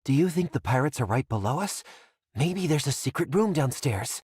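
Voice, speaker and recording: An actor playing a gossipy, imaginative townsman in an anime game, with a husky, conspiratorial delivery. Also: a little high, sing-song, moderate pace, darkish tone, no room sound, studio quality, heavily acted. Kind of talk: English anime game voice acting.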